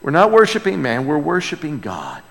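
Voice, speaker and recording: A man's voice: on the high side, wildly swooping pitch, moderate pace, creaky, fairly bright tone. A man preaching a sermon, speaking emphatically with repeated key words and rhetorical questions. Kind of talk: expository sermon. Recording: hall with long reverb.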